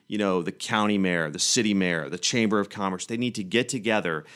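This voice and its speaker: A man's voice: low-pitched, a little fast, a bit bright, smooth. A man from Tennessee, a guest physician, giving earnest interview answers with many restarts.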